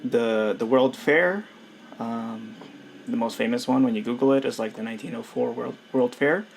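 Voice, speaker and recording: A man hosting a casual podcast chat, introducing the topic hesitantly with many pauses and "um"s. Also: mid-range voice, vocal fry, a little hiss or hum, poor audio. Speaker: podcast host.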